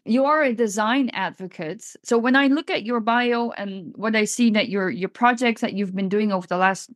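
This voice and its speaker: A woman podcast host speaks in a conversational interviewing style. Her voice sits at a middle pitch, recorded dry with hardly any room sound. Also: no echo.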